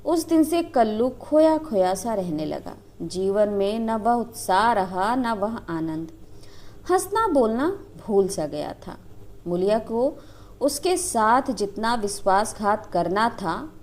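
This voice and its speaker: A woman storyteller narrating a scripted story in a dramatic, expressive manner. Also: mid-range voice.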